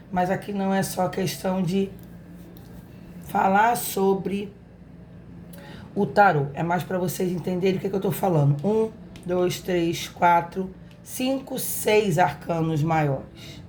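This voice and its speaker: A hoarse woman with a Brazilian accent speaks slowly and unhurriedly, her voice sitting a little low. She chats affectionately to her viewers as she runs a spiritual tarot reading. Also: a little mellow, slight background noise. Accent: Brazilian Portuguese. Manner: affectionate, chatty, talks straight to viewers. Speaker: tarot reader, channel host. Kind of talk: casual spiritual reading to camera.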